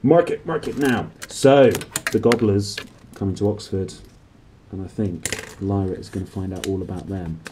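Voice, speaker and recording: A man in his thirties reading a storybook aloud with expressive, dramatic phrasing. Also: lowish voice, moderate pace, background noise. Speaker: book reader and presenter. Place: small indoor room.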